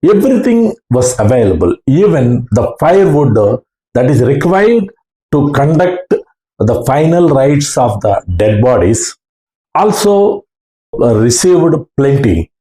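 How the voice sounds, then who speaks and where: A man with an Indian English accent recounting his experiences in a halting, formal, deliberate way, like a storytelling talk. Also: mid-range voice, big pitch swings, mellow. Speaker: ham radio operator recounting experiences. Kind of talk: slow first-person storytelling talk. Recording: echoey, roomy sound.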